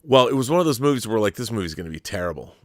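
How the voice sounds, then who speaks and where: A man's voice: on the low side, very animated, very fast, no echo, studio quality. A middle-aged American man co-hosting a casual film discussion, opinionated and emphatic, often posing rhetorical questions. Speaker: talk show co-host.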